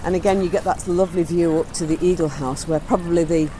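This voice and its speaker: A woman's voice: on the low side, fairly even intonation, fast talker, mellow. Lady tour guide chatting while showing someone round outside, noisy field recording. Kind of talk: informal guided tour talk. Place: outdoors, walking in a garden. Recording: outdoor field recording with ambient noise. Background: outdoor ambience.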